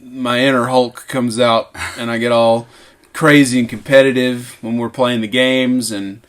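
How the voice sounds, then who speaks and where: A man's voice: mid-range voice, steady tone, unhurried. A man in his late twenties with a Southern American accent, co-hosting a casual podcast from a home man cave, easygoing and self-deprecating. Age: late 20s. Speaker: podcast co-host, teacher. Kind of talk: casual chat.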